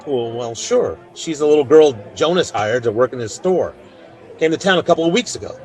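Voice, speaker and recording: An actor playing a plainspoken, fair-minded frontier marshal with authority in a radio western. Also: male voice, on the high side, lively intonation, brisk, a little mellow, some chatter behind, low-quality recording. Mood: flustered, amused. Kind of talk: old-time radio western acting.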